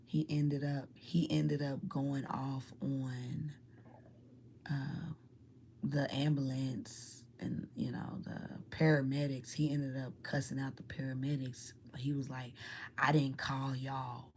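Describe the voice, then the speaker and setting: A woman whispers her story to the camera in an ASMR-like hush, rambling from one thing to the next in loose, casual slang. Her voice sits very low, with a gravelly fry when she speaks aloud. A faint hiss lies underneath.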